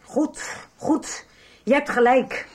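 A woman's voice: high voice, slow. An actress playing a defensive, exasperated middle-aged woman in a radio soap.

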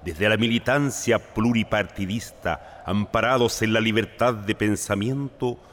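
A man's voice: lowish voice, unhurried, fairly bright tone, a little hiss or hum. A man delivering solemn, scripted narration.

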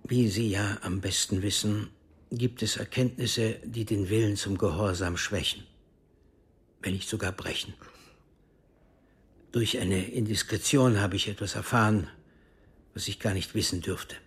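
An actor in a radio drama playing a cool, secretive intelligence officer who speaks formally and with measured control. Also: male voice, fairly low pitch, fairly even intonation, slightly rough. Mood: grave, measured. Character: cool secretive East German intelligence officer, frankly world-weary.

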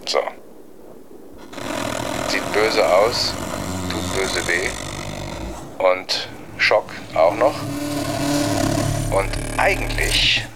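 Over clear background noise, a man with a very low voice tells a story. He speaks casually and colloquially, rambling along as he draws the tale out. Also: extremely slow, long pauses. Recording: noisy ambience behind the voice.

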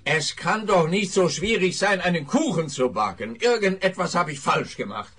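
An actor playing a bumbling, self-pleased male policeman in a comic radio play, fussy and pompous, with a husky voice. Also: high voice, animated, normal speed, pushed and bright, soft background music, exaggerated voice. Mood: earnest, puzzled.

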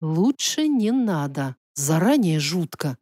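A woman voicing a defiant, nervous young woman in an audiobook, anxious and emotional, with sharp retorts. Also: low voice, very animated, slow, no echo, studio quality, voice pushed down, heavily acted. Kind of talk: audiobook character voice.

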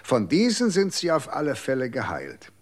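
An actor playing a calm, dismissive official who lectures on the law in a radio drama. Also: male voice, mid-range voice, normal speed. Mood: cold. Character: cold, condescending official defending the law.

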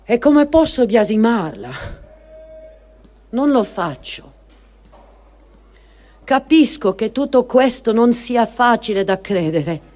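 A grieving, guilt-ridden adult child voiced by a performer reads out a personal testimony. The delivery is very unhurried and deliberate, with emphatic pauses, like a literary radio reading. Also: medium pitch, very animated, darkish tone. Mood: sorrowful. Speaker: reader of testimony. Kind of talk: dramatic literary reading of a first-person diary.

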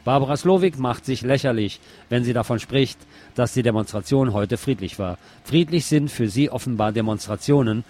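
A man's voice: mid-range voice, normal speed, hardly any pauses, darkish tone. A man presenting a radio news segment, reading reports and press statements from a script.

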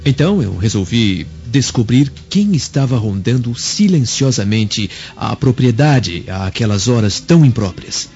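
Cunning, vengeful young male servant, radio drama; mid-range voice, measured and deferential, muffled sound, soft score. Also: medium pitch, expressive. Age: young adult. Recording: muffled audio under background music.

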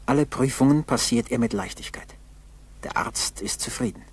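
A man narrating a 1960s German school radio play in a solemn, measured, scripted style. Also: mid-range voice, creaky, slightly rough. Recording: 1960s radio tape with background noise.

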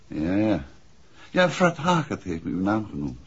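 An actor playing a courteous, inquisitive gentleman detective in an old radio drama, speaking politely and probingly. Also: fairly low pitch, huge pitch swings, unhurried, husky, exaggerated voice. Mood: polite, casual. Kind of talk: 1960s Dutch radio drama acting. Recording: muffled old radio recording.

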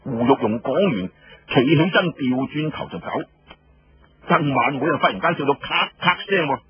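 A man with a Cantonese accent and a husky voice, narrating a story in colloquial, dramatic storyteller style. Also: on the high side, lively intonation, normal speed, lo-fi sound.

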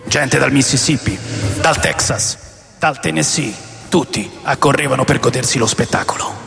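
An actor playing an aging, rebellious rock musician who recounts his wild past in a deliberate, theatrical radio drama narration with a gravelly voice. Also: mid-range voice. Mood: animated, indignant. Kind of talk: dramatic first-person memoir narration. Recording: long reverb over music.

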